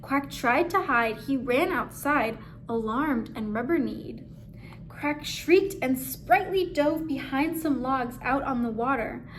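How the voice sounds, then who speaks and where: A woman reading a picture book aloud expressively, with measured, dramatic phrasing. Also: sits high, slight background noise. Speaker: story reader.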